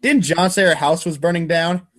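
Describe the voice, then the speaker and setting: A man's voice: on the high side, normal speed. A man narrating a webcomic aloud in an informal, dramatic second-person style. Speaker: reader of a webcomic read-aloud show.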